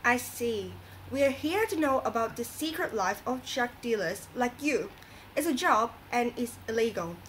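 A woman's voice: a little high, animated, moderate pace, slight background noise, lightly acted, poor audio. A woman playing an earnest, moralizing talk show host in a scripted radio drama, reading didactically.

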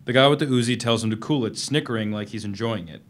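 A man's voice: mid-range voice, quick pace, slight background noise. A young man giving a dramatic stage reading as a novel's first-person narrator. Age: young adult. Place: on stage at a live reading event. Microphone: microphone on a stand.

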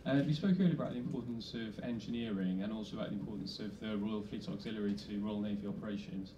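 A man's voice: on the low side, fairly even intonation, quick pace, dull audio. A British man, a newspaper journalist, putting a press question from the floor. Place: indoor event audience. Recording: echoey noisy room, distant mic.

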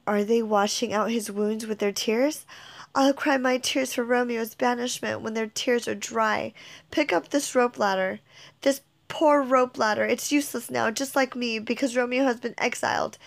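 A woman's voice: fairly high pitch, normal speed, creaky. A woman reading a play aloud and voicing Juliet, a passionate young bride torn by grief, in an emotional, declamatory manner. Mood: despairing. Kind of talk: dramatic reading of a modernized play.

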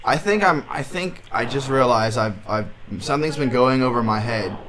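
A man in his twenties making a deadpan prank phone call, sounding puzzled, hesitant and naive. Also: medium pitch, normal speed, crowd noise. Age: 20s. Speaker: prank caller. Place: small indoor studio room.

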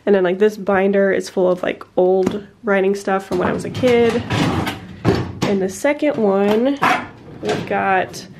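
A congested young woman talks to camera in a small home office. Her speech wanders, full of "um" and "like", and she runs a bit short of breath. Her pitch sits at an ordinary middle height for a woman. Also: darkish tone, a little hiss or hum. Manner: rambling, many fillers like "um" and "like". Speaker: vlogger. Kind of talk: casual vlog chat.

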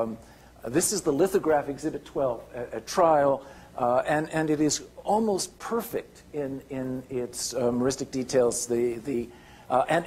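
An older man giving a keynote lecture with slides, halting, with many restarts and fillers. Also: mid-range voice, a little mellow, breathy edge. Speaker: keynote speaker. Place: lecture hall.

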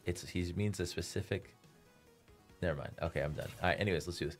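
A young man with a very low voice talks into a headset while he streams. His first language is Farsi, and he chats with his viewers in a loose, self-mocking way. Soft game music plays behind him. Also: fast talker. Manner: joking, self-mocking, chatty. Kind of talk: casual stream commentary. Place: small room indoors. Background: faint game music.

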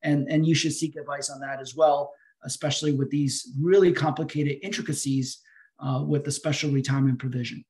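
A man's voice: medium pitch, fairly even intonation, fairly quick, a little mellow. A middle-aged man answering webinar questions as a financial advisor over a video call, explaining with frequent hesitations.

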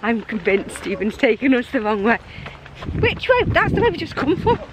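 A woman's voice: fairly high pitch, very animated, moderate pace, noisy background. A woman in her thirties chatting casually and with giggles into a handheld camera while walking outdoors. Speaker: vlogger. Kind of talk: casual outdoor vlog chat. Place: outdoors on countryside footpaths. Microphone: handheld camera at arm's length.

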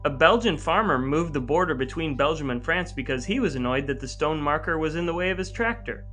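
A man's voice: on the high side, animated, fairly quick, a bit bright, soft background music. A middle-aged American man hosting a podcast, speaking in a scripted, narrating style. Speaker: podcast host.

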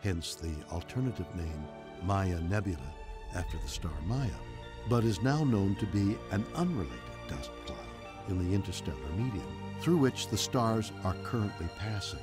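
An actor playing a composed male spaceship computer in a game, with a measured, dramatic delivery. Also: low voice, animated. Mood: measured, grand. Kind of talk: scripted game voice acting. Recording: music behind the voice.

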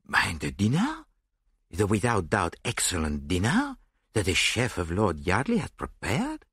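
A man voicing a fastidious, theatrical male detective with a French accent and courtly, exclamatory, oddly phrased English, in an audiobook. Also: deep voice, wildly swooping pitch, a bit bright, husky, no echo, clean studio sound, full character voice. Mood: horrified, indignant.

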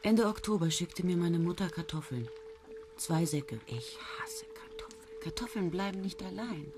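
A woman's voice: lowish voice, very animated, moderate pace, music in the background. An actress playing a young female student who narrates a radio play in a wry, brooding way and bitterly hates potatoes. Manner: wry, brooding, clipped short sentences.

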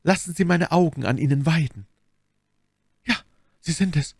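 Man voicing a high-pitched, scheming noblewoman. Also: moderate pace, vocal fry, breathy edge, dry recording, studio quality. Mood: gushing, false warmth. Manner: effusive, dramatic, insistent. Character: scheming adult noblewoman, false, intense, gushing. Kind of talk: dramatic audiobook character voice.

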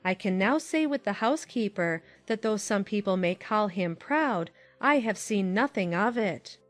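Woman playing a gossipy middle-aged aunt, mid voice, lively and chatty, soft music under. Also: mid-range voice, moderate pace, natural voice. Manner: lively, talkative, opinionated. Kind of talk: audiobook drama acting.

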